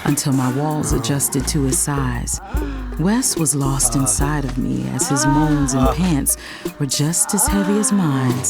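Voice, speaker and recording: A woman playing a sultry narrator, confiding in a scripted first-person audio drama. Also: deep voice. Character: sultry woman narrating her own love life. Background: music.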